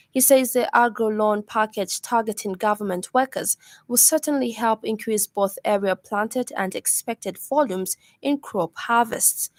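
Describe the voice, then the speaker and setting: A woman's voice: medium pitch, dry recording. Zambian woman reading radio news, dry studio sound. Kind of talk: scripted news bulletin reading.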